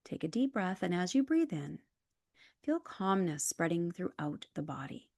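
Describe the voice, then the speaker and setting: A woman leading a guided relaxation as a yoga nidra instructor, calm and measured, with soft, breathy phrase endings. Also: fairly low pitch, no room sound. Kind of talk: guided meditation, scripted instructions.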